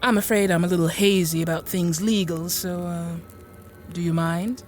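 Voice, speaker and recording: A woman's voice: lowish voice, fairly even intonation, normal speed, a little mellow, faint music behind, close to an everyday voice. An actress playing a genteel, infirm woman inventor in a radio drama, with courteous, hesitant, old-fashioned speech. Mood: polite, hesitant. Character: genteel, infirm middle-aged woman inventor, politely apologetic and bookish. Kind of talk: radio drama monologue and dialogue.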